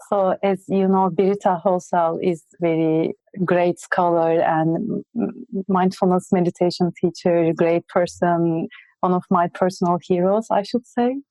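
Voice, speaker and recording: A woman with a Turkish accent answers interview questions as a research guest. Her voice is mellow and fairly low, and she speaks hesitantly, with many fillers. The sound is dry and close. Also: fairly even intonation, slow, no echo, poor audio. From Turkey. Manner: hesitant, frequent fillers, light laughter.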